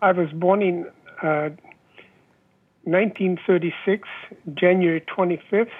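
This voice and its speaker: A man in his seventies with a Hungarian accent, pausing often with fillers as he answers interview questions over the phone. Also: high voice, steady tone, breathy edge. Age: 70s. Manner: halting, many filler pauses. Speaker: interviewee, former Olympic fencer. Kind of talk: oral history interview. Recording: dull lo-fi phone line.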